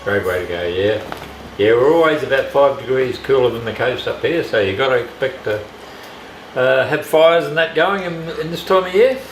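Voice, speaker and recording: A man's voice: medium pitch, normal speed, reverberant, soft background music, low-quality recording. A man who runs a restaurant, chatting easily and agreeing in short replies. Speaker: restaurant owner. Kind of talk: casual chat.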